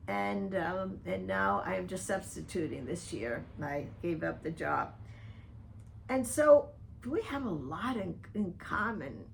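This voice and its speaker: An older woman delivers a slow, earnest monologue, speaking pointedly to one person by name. Her voice sits fairly low and carries a heavy gravelly rasp. A faint noise stays in the background throughout. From Dominican Republic. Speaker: vlogger addressing a public figure. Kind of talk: personal open-letter video monologue.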